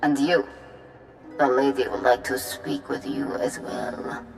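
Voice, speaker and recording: Creaky, cold, imperious envoy. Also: male voice, mid-range voice, fairly even intonation. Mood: cold threat. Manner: formal, measured, coolly threatening. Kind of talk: scripted video game cutscene acting. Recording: dramatic music behind.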